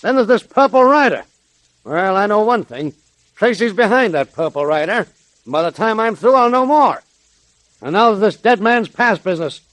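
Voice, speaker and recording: A man's voice: high voice, animated, moderate pace, a bit bright, dry recording, poor audio. An actor playing a bullying, blustering gang boss with slangy tough-guy talk in a 1930s radio drama. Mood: angry, scolding. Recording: vintage 1930s radio recording.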